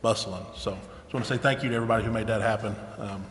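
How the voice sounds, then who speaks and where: A man chairs a public meeting with informal remarks. He speaks quickly in a fairly low, even voice. The chamber is echoey, with clear noise behind him. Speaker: city council meeting chair. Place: indoor city council chamber. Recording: echoey, noisy council chamber.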